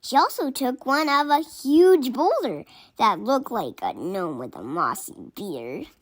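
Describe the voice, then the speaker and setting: A woman reads a children's picture book aloud in a fairly high voice. Her pitch moves a great deal, and she takes the story slowly, acting out the characters' lines expressively. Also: very animated.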